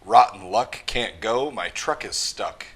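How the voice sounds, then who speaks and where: Low-voiced man reading a children's story. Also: lively intonation, slow, a bit bright, slightly rough. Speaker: school mascot reading a picture book to children. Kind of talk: animated children's story read-aloud.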